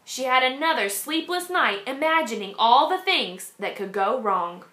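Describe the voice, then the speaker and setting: Woman teacher reading aloud, high bright voice, echoey classroom sound. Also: normal speed. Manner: expressive, animated reading. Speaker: kindergarten teacher. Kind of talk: storybook read-aloud. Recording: echoey room.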